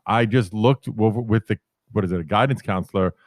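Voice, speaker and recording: Deep-voiced man in his fifties from New York. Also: a little fast, no room sound, studio quality. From New York City. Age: 50s. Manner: rambling, self-correcting anecdotes. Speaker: podcast guest. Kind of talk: casual storytelling interview.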